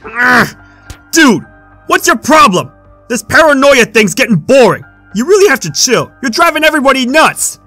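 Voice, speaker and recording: A performer plays a fed-up young half-robot guy in a game superhero story. He talks in slang with a high, sharp, animated voice over faint music. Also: normal speed, pauses often, no room sound, full character voice.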